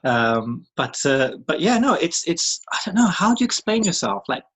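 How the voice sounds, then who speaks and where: A man's voice: on the high side, sing-song, normal speed, fairly bright tone, slightly rough. British guy in his 30s chatting on a podcast, lots of ums, keeps restarting, laughs a bunch. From Britain. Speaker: podcast guest. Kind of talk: casual chat.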